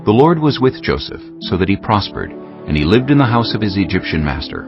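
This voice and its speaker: A man narrating a dramatized scripture text in a measured, solemn storytelling style. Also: fairly low pitch, big pitch swings. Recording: dull lo-fi audio, faint music behind.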